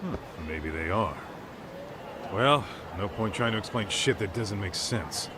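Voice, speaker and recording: A man's voice: lowish voice, lively intonation, measured pace, background noise. An actor playing a brash, streetwise male detective in a game dub, teasing and blunt, with taunting slang. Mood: suspicious. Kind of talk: dubbed video game cutscene acting. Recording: music behind the voice.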